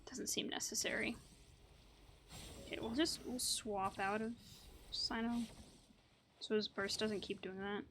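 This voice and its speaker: A woman's voice: mid-range voice, steady tone, unhurried, long pauses, a bit bright, a little hiss or hum. A woman hosting a gaming video, giving casual, chatty commentary as she thinks aloud. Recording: light game music behind voice. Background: video game music.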